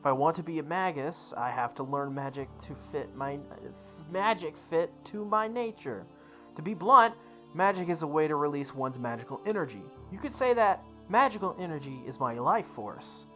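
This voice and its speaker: A woman hosting a visual novel playthrough, reading the text aloud in a measured way and commenting casually. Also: low-pitched, lively intonation, soft background music. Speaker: gaming video host.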